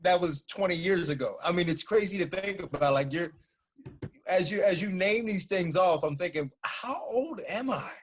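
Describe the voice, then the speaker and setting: A man in his forties, a former fighter, telling stories in a relaxed, casual interview and laughing often. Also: high voice, moderate pace. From United States.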